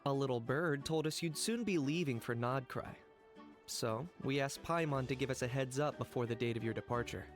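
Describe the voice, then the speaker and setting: A man plays a friendly, easygoing game character. His voice sits at a middle pitch, with music clearly behind it. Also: normal speed, close to an everyday voice. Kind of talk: video game voice acting. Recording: music under the voice.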